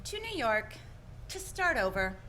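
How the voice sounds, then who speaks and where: Radio play role: proud but embarrassed small-town woman, acted by a woman, bright, high and earnest. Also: big pitch swings, a little slow, slight background noise, exaggerated voice. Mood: embarrassed, resolved. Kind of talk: 1940s radio play acting.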